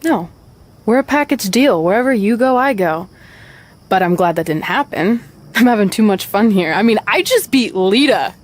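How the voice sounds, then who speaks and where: A woman's voice: mid-range voice, expressive, moderate pace, close to an everyday voice. Woman as a frank, wry young wrestler. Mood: warm, loyal. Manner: direct, wry. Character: loyal, frank young female wrestler, wry best friend. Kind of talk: video game cutscene acting.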